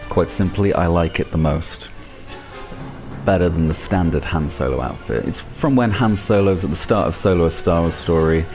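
A man's voice: low-pitched, a little mellow. A man hosting a gaming video with casual, informal, jokey commentary. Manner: informal, jokey, mildly sweary. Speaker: gaming YouTuber, host. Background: game music.

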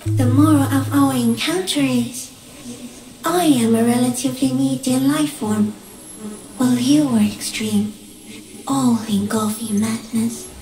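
A woman voicing an ancient, gentle, alien stick insect in a video game, speaking solemnly in measured, poetic, halting phrases. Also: high-pitched, creaky. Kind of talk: dramatic video game dialogue. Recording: echoing voice over game music. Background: music.

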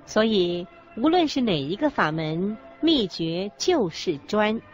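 A solemn Buddhist explainer speaks slowly and emphatically over soft music in a radio drama. Her intonation is lively, and the recording is slightly dull. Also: mid-range voice. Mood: solemn, emphatic. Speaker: co-presenter in a radio lecture drama. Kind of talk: scripted radio drama dialogue, expository. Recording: dull lo-fi audio with background music.

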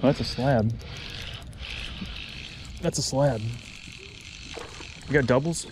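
A man in his thirties narrating a fishing trip outdoors in a swamp, picked up by an action camera on the boat. His voice sits at a middle pitch and he talks excitably, laughing and repeating words. Faint outdoor noise runs under him. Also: takes it slowly. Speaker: fishing vlogger. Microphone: action camera mounted on the boat.

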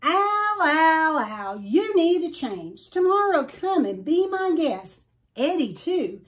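A woman's voice: very high voice, sing-song, slow, pitched above the performer's own voice, heavily acted. A woman voicing a big, persuasive bird with an emphatic, coaxing salesman's manner in a storybook. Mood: coaxing, upbeat.

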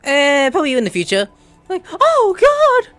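A man giving casual gameplay commentary as a video host, excitable, reactive and prone to swearing. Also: very high-pitched, normal speed, dry recording. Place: small room indoors at a computer. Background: faint game music.

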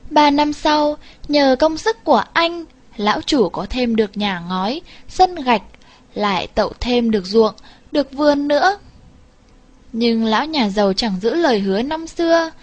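Female storyteller for scripted folk tales, higher-pitched voice, expressive delivery. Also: a little slow. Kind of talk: scripted fairy tale narration.

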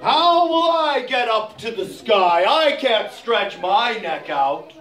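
A woman's voice: fairly high pitch, big pitch swings, takes it slowly, fairly bright tone, slight background noise, full character voice. A woman voicing a strong but unsure male elephant in a folk tale, hesitant and calling out. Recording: roomy, distant.

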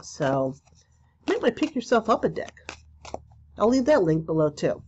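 A woman in her forties with a stuffy cold, hosting a casual spiritual podcast from a small room, chatty and full of ums and pauses. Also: medium pitch, big pitch swings, darkish tone, muffled recording, slight background noise. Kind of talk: casual solo podcast talk.

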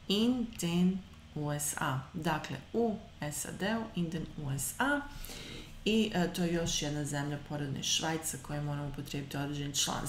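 A woman in her thirties teaching an online German lesson. She says German example sentences slowly and carefully and explains between them in quick asides, recorded on a webcam. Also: low voice, reverberant, a little hiss or hum.

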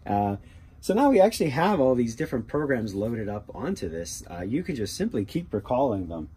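A man giving a casual how-to demonstration as a hobbyist, talking haltingly and thinking aloud. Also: mid-range voice, very animated, dark tone. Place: small cluttered workshop indoors. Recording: noticeable background noise with clicking keys.

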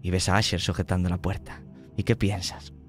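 Low-voiced Spanish game master, dramatic. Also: male voice, little pitch movement, brisk, faint music behind. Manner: dramatic, vivid scene-setting. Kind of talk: narrating a tabletop horror game.

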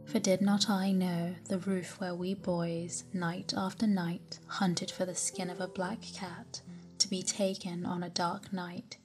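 A woman narrating a classic sailing memoir as a sleep story, reading slowly and softly and dropping into whispers at times. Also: on the low side. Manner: slow, soft, calm reading with whispered stretches. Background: soft music.

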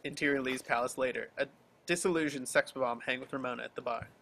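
A man's voice: medium pitch, fairly even intonation, fast talker, creaky, fairly bright tone, a little hiss or hum. A man narrating scripted action and scene headings for an amateur table read. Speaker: stage-direction reader. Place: home, group video call.

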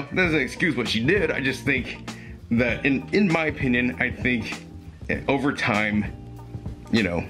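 A young man with a voice going hoarse, speaking earnestly and haltingly with fillers and restarts, recorded close on a webcam in a small room at home. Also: on the low side. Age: young adult. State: losing his voice, hoarse. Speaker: commentary YouTuber. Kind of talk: reading aloud and commenting.

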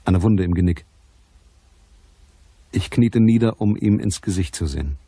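A man's voice: sits low, a little slow, dark tone, slightly rough, no echo. A man acting a cool, matter-of-fact private detective in a crime radio play. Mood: sober narrating. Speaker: lead actor and narrator of a crime radio play.

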